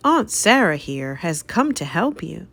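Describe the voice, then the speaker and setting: A kindly young wife from a children's storybook, acted by a woman. The delivery is gentle and doting, with animated, affectionate rises and falls. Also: lowish voice, a little slow, creaky, exaggerated voice. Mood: reassuring.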